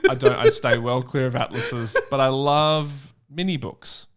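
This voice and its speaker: A man's voice: mid-range voice, expressive, a little slow, fairly bright tone. A man of about forty chatting casually as a podcast guest, with a dry, joking, mock-earnest manner. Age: around 40. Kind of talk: casual comedic chat.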